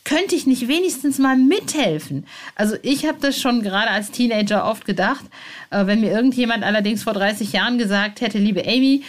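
A woman in her forties hosting a fan podcast, informal and excitable, speaking directly to listeners. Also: on the high side, brisk. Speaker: podcast host. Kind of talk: chatty fan podcast presenting.

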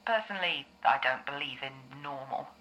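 A woman playing a calm, reassuring therapist in an audio drama, speaking in a measured, explanatory way. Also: very deep voice, low-quality recording. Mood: calm, explaining. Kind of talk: scripted audio drama dialogue.

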